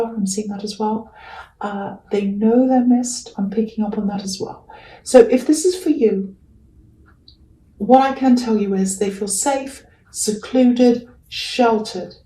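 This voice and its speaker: A woman's voice: on the high side, warm tone. A woman giving a casual, improvised tarot reading, hesitant and reassuring, with a slightly crackly voice. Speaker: tarot reader. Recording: roomy echo, distant from mic.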